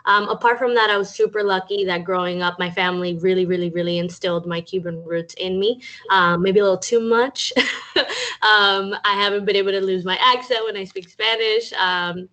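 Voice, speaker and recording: Young woman from Cuba, chatty talk over a video call, medium pitch, lots of ums. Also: fairly even intonation, a little fast, bright, forceful tone. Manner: chatty, many um fillers. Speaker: guest speaker.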